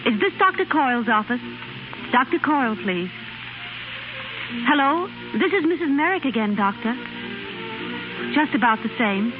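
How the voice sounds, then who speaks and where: Weary devoted young wife, high pitch, earnest pleading, vintage radio drama, thin tinny sound. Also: female voice, animated, normal speed, pauses often, over music. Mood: anxious, polite. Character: tired, anxious, devoted young working wife. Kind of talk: 1930s radio drama acting. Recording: scratchy, noisy, tinny 1930s radio recording.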